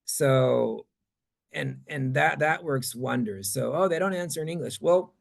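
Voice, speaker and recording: Creaky-voiced man answering audience questions. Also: medium pitch, a little slow. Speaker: presenter. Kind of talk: conference talk question-and-answer session.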